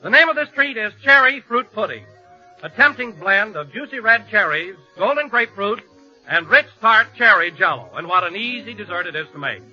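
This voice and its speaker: A man's voice: high-pitched, animated, brisk, soft background music. An American man announcing in an enthusiastic, emphatic 1940s radio commercial style. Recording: muffled vintage 1940s radio broadcast.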